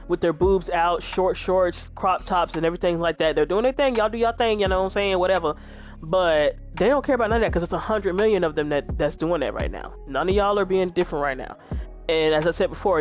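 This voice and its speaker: A woman in her twenties with a deep voice, chatting candidly to her viewers while she plays a video game. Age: 20s. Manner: frank, chatty, talks straight to viewers. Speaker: gaming YouTuber.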